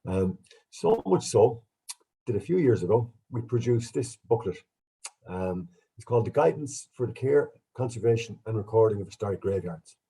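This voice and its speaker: An Irish man gives a relaxed, self-deprecating talk over a video call. His voice is very dark and husky, sitting a little low, and he sounds stuffed up from a cold. He speaks in an easygoing conversational lecture manner. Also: poor audio. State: bunged up with a cold. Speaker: guest speaker, archaeologist. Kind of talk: online lecture with slides.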